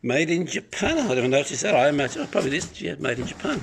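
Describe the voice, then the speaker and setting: A man's voice: a little high, sing-song, very fast, vocal fry, slight background noise. A man giving a casual, unscripted demonstration of an item he is selling, rambling and hesitant, recorded on a handheld phone in a living room. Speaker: private seller showing an item for sale. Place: living room of a home apartment, indoors. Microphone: handheld phone held by the speaker behind the camera.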